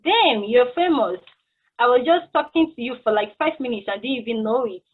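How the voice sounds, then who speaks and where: A friendly, curious young-sounding cashier played by a woman in a stage play reading. The voice sits a little high and talks in a hesitant, informal way. The audio is thin and band-limited, like a phone call. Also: animated, normal speed, breathy edge. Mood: curious, awkward. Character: friendly, awkward, curious female drive-through cashier. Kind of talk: casual table read of a stage play.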